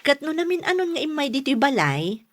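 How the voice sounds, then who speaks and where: An actress in an Ilocano radio drama playing a skeptical, scolding elder sister with a chiding, matter-of-fact manner. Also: female voice, high voice, very animated, a little fast, noisy background. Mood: teasing.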